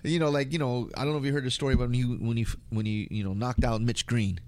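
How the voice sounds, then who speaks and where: A man's voice: medium pitch, fairly even intonation, fast talker, darkish tone, dry recording. An American man chatting casually in a rambling, slangy way. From United States. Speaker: interview guest. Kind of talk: casual conversation.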